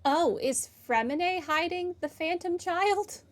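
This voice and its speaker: A woman's voice: very high-pitched, takes it slowly. A woman giving casual, playful, wry commentary while playing a video game. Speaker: game player commenting on a playthrough. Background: faint game music.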